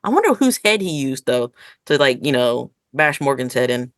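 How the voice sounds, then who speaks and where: A woman podcast host in a casual review chat, informal and expressive, acting out her own reactions. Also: rumbling low, normal speed, dry recording, clean studio sound.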